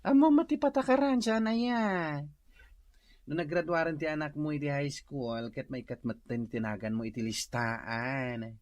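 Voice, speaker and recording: A man's voice: moderate pace, no echo, natural voice. A man playing a nagging friend who lectures others about work and money in a radio comedy drama. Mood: lecturing. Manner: scolding, lecturing, lively pitch.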